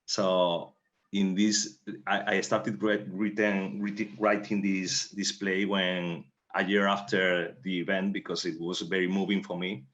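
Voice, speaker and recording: A man's voice: low voice, little pitch movement, a little slow, fairly bright tone. A man with a Spanish accent, a native Spanish speaker, giving reflective interview answers and searching for words as he goes. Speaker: playwright on a panel. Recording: dull, lo-fi audio.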